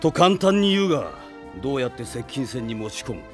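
Male actor: gruff sellsword for radio drama, curt, skeptical, world-weary, light music behind. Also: medium pitch, sing-song, a little fast, lightly acted. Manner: curt, rough-spoken, skeptical. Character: blunt, gruff, world-weary mercenary fighter. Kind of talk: Japanese radio drama acting.

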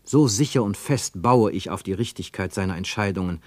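A man's voice: low voice, a little fast, a little mellow, no room sound, clean studio sound, close to an everyday voice. A man voicing a zealous German boy in a radio play, exalted and declamatory. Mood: fanatical, proud. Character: zealous German boy reciting Nazi-era pledges with exalted faith. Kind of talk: radio play narrator voicing quoted speech.

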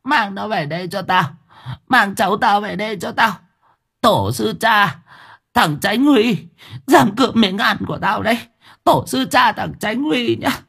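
A man's voice: sits high, huge pitch swings, moderate pace, pauses often, a bit bright, slightly rough, pitched above the performer's own voice, full character voice. A man voicing a ravenous, possessed old grandmother who screeches curses and snarling threats at her family. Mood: furious.

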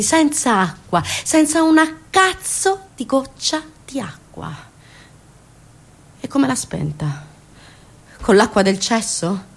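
Female stage storyteller. Dramatic, impassioned, indignant delivery with big melodic swings and weighted repetition. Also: medium pitch. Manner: impassioned, emphatic, repeats phrases for weight; indignant rhetorical questions to listeners. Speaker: stage actress performing a monologue. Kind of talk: dramatic theatrical storytelling monologue.

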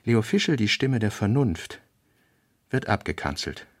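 A man narrating a literary radio drama, reading fragmentary notes in measured, clipped, deliberate phrases. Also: on the low side, very animated, no echo, studio quality.

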